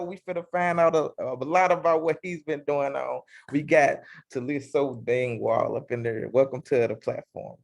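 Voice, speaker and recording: A man hosting a casual interview, talking in a loose, slangy, hyped-up way. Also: fairly high pitch. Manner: hype, slangy self-introduction. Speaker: interview host.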